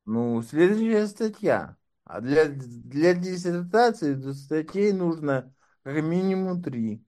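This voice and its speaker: A man's voice: a little high, animated, takes it slowly, mellow. A man speaking as an interview guest and doctoral candidate, talking in a wry, sardonic way. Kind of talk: casual conversation.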